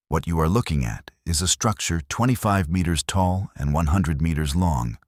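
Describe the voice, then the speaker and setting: A man reading a scripted sleep meditation narration in a solemn, unhurried, soothing way. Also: deep voice, darkish tone, no room sound, clean studio sound.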